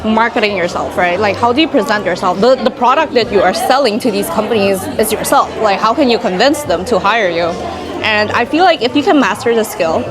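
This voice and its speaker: A young woman in her twenties from Indonesia, chatting casually in a Q&A vlog, full of "like"s and asides. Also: medium pitch. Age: 20s. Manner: chatty, many "like"s, quick asides. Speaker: vlogger. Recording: music playing in the background.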